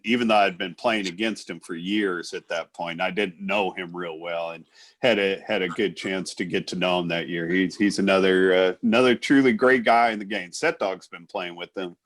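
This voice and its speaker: A man's voice: sits low, fairly even intonation, moderate pace, fairly bright tone. A man in his fifties hosting a casual sports interview, effusive and ready to poke fun at himself. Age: 50s. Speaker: show host.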